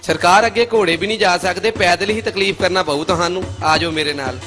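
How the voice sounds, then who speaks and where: A man with a Pakistani Punjabi accent voicing a cheeky, quick-witted royal courtier in a comic cartoon dub. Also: high voice, lightly acted. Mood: explaining, glib. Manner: glib, mock-deferential. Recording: music under the voice.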